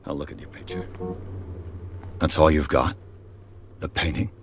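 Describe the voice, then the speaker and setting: Casting: terse, jaded private eye, extremely low register, video game drama, faint noise behind. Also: male voice, steady tone, measured pace. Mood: pensive, skeptical. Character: jaded male private detective, curt and world-weary.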